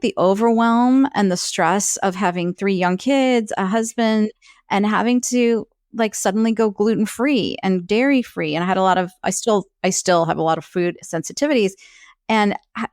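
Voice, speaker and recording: A woman's voice: medium pitch, dry recording. Chatty woman in her fifties, dry sound. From Peru. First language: Spanish. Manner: chatty, many "like" fillers, laughs easily. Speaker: health coach guest. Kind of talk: casual interview chat.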